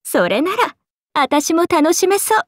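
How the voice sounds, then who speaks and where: A woman's voice: sing-song, normal speed, dry recording, clean studio sound. A woman voicing a playful, teasing serpent-oni goddess for a mobile game, with breezy big-sister airs and a drawling, sake-loving manner. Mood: relaxed, musing. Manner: breezy, drawling, teasing, slipping into low murmurs. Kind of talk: Japanese mobile game character voice lines.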